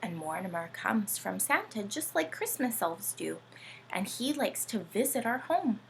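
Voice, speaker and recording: A woman's voice: mid-range voice, unhurried, creaky, a little hiss or hum, low-quality recording. A woman reading and chatting to young children in a playful, sing-song way, often asking them questions. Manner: sing-song, animated, asks the listeners questions. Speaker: children's read-aloud host. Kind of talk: playful read-aloud and chat addressed to young children.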